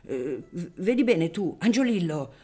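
A man's voice: high-pitched, very animated, measured pace, mellow, heavily acted. A reader voicing an anxious, agitated poor father who gambles on the lottery. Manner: agitated, raised voice.

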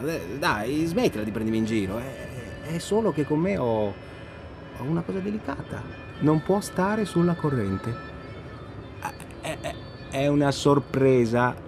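An actor playing an elated, restless man on a phone call to his beloved in a radio drama, halting and repeating himself insistently. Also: medium pitch. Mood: amused, evasive. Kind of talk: naturalistic radio drama acting, one side of phone call.

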